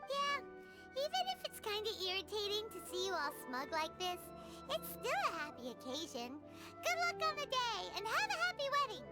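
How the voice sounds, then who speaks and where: Game fairy sidekick: tiny, squeaky, blunt and cheeky, very high, hugely animated delivery. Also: female voice, moderate pace. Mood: grudgingly cheerful. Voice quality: squeaky, childlike. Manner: blunt, exclamatory, speaks of self by name. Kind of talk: animated game character acting. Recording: music playing behind the voice.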